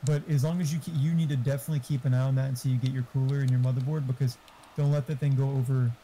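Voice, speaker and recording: A man's voice: mid-range voice, flat delivery, racing, dark tone, a little hiss or hum. A man in casual gaming voice chat, giving opinionated, informal tech advice with some swearing. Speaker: gamer giving computer hardware advice.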